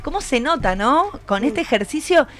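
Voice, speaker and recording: A woman's voice: high voice, lively intonation, moderate pace, fairly bright tone. A woman with an Argentine accent hosting a casual radio interview, encouraging people and prompting them with questions. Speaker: radio host interviewing students. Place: radio studio. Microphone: studio microphone.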